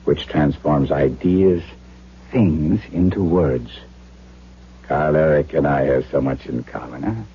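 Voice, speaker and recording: An actor playing a firm, earnest German doctor in a radio drama, deliberate and authoritative. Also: male voice, very deep voice, husky, exaggerated voice. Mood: patient explaining. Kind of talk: 1970s radio drama acting. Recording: dull radio recording with faint hum.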